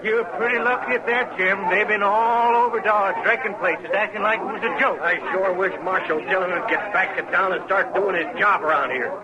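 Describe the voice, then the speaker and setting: A male actor plays a timid, aggrieved American saloon keeper with a high-pitched voice. He grumbles nervously. The vintage radio sound is muffled, with a loud crowd behind him. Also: normal speed, hardly any pauses, lightly acted. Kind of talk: 1950s radio western acting.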